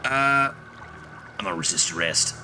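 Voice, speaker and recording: Low-voiced, animated male game streamer. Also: slow, noisy background. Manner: jokey, profane, rambling. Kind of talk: casual gameplay commentary. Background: game music.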